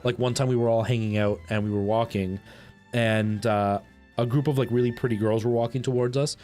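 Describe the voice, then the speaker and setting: A man's voice: medium pitch, steady tone, brisk, darkish tone. Casual chatty male game streamer, music behind. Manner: chatty, rambling anecdotes. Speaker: live streamer. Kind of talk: casual chat with viewers over a game stream, storytelling.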